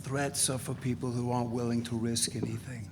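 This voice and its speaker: A man's voice: medium pitch, flat delivery, fairly quick, gravelly, natural voice. A man in a live dramatic reading playing a laconic radical tough guy, offhand, dry and defiant. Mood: dry, dismissive. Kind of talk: dramatic reading of a novel before an audience. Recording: reverberant hall, noisy live recording.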